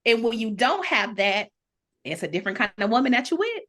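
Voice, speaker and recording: A woman's voice: a little high, very animated, a little fast, a bit bright. An American woman from Toledo talking casually on a podcast, outspoken and opinionated, with slangy, profane phrasing. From Toledo, United States. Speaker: podcast panelist.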